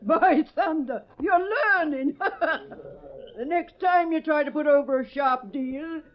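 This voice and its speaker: A woman's voice: sits high, measured pace. A woman playing a blunt, folksy ranch woman with a rural Western American accent in a 1940s radio western. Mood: crowing, gleeful. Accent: rural American West. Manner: folksy, scolding. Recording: muffled old radio recording.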